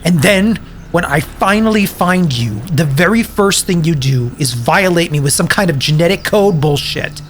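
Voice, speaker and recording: An actor playing a bitter, self-pitying wasteland survivor in an audio drama, sarcastic, profane and defensive. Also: male voice, a little high, lively intonation, moderate pace, slight background noise, natural voice. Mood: bitter, wounded.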